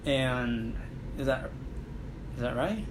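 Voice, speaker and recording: A man in a casual conversation, speaking haltingly and searching for words with many fillers. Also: medium pitch, lively intonation. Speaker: conversation partner. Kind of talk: casual chat. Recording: clear background noise.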